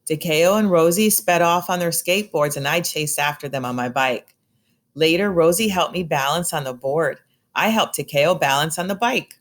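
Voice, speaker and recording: A woman reading a children's picture book aloud in an expressive storytelling style. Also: low voice, normal speed. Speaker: storybook reader.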